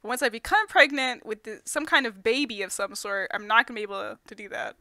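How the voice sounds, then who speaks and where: A woman's voice: fairly high pitch, fast talker, sharp tone, no echo, studio quality. A woman improvising a ditzy, lovestruck mommy vlogger in a comedic dub, rambling and giggly with absurd earnestness. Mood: earnest worry. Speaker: fandub performer.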